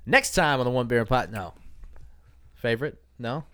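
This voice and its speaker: A man's voice: medium pitch, lively intonation, moderate pace, bright, forceful tone, dry recording. A man co-hosting a podcast, chatting casually with friends in blunt, crude banter. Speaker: podcast co-host. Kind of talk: casual chat.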